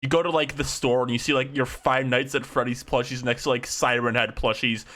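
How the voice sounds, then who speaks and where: A man in his twenties co-hosting a podcast in casual chat, laughing often and talking in rapid bursts. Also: mid-range voice, fairly even intonation, bright, forceful tone, a little hiss or hum. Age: 20s. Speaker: podcast co-host.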